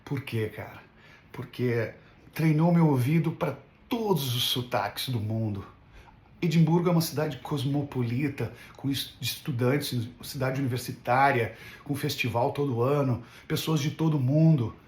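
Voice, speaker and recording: A man's voice: mid-range voice, normal speed, darkish tone. A man talking casually as an English teacher in an unscripted vlog, rambling and often checking for agreement with little tag questions. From Brazil. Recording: roomy, echoing room sound.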